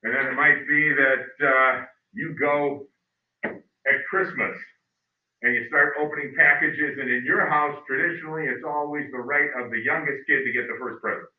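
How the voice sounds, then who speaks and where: Male history teacher lecturing loudly and teasingly through a mask, caught from across the room on a thin, phone-quality recording. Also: medium pitch, steady tone, moderate pace. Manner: loud, joking, teasing students. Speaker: high school history teacher. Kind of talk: classroom lecture. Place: classroom. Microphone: masked, recorded from across a classroom. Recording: echoey room, far from the mic, phone-like sound.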